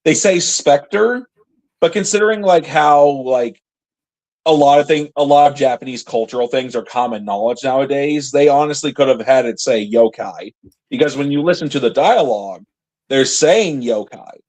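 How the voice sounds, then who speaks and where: A man's voice: mid-range voice, moderate pace, darkish tone. A man co-hosting a podcast, chatting casually in an easygoing way and adding bits of trivia. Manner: easygoing, laughs along, adds trivia. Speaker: podcast co-host. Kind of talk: casual chat about a TV series.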